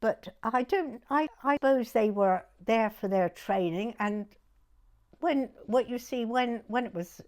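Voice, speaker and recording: A woman in her nineties from Dorset with a southern English accent, reminiscing in an interview in a hesitant, halting way and repeating words. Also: on the high side, darkish tone. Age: 90s. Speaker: oral history interviewee.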